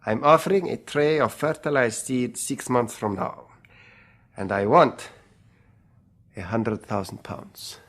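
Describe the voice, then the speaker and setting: An actor playing a shady, self-assured dealer, slyly polite and amused in a classic radio drama. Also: male voice, medium pitch, slow, long pauses, fairly bright tone. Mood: confident bargaining. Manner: smooth, bargaining, slyly polite.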